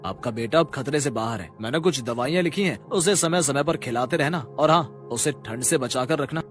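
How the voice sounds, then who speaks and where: A man playing a calm, kindly hospital doctor in a cartoon moral story. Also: medium pitch, normal speed, soft background music, lightly acted. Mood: reassuring.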